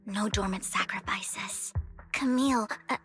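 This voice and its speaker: A woman's voice: medium pitch, measured pace, a bit bright, lightly acted. A woman playing an earnest, worried, sentimental young heroine in a video game. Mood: subdued, sad. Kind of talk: scripted video game voice acting. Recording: game music clearly behind the voice.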